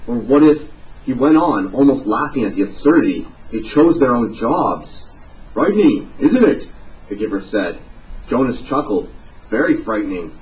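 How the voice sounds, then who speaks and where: A man reads a novel aloud with a lively, rising and falling voice. He sits some way off the mic, and the sound comes through dull and muffled with a faint hiss behind it. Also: mid-range voice, recorded from a distance. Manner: expressive reading with lively dialogue. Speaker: audiobook narrator. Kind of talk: scripted novel narration.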